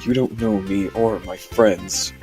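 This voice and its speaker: A man's voice: fairly low pitch, steady tone, takes it slowly, a little mellow, soft background music. A man voicing an impatient, foul-mouthed young troll trainee in an amateur cartoon comic dub. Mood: irritated.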